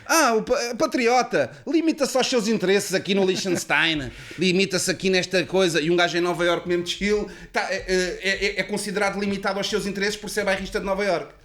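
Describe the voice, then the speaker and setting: Young Lisbon man, high voice, rapid slangy podcast host. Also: fairly bright tone. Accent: Lisbon Portuguese. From Lisbon, Portugal. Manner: loose, slangy, quick agreeing interjections. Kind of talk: casual podcast chat.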